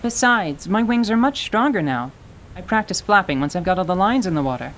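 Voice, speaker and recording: A woman playing a cheeky, stubborn young tiefling girl in radio theater, with a playful, sassy deadpan manner. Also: medium pitch, a little fast, slight background noise, lightly acted. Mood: playful, proud. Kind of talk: dramatized radio theater acting.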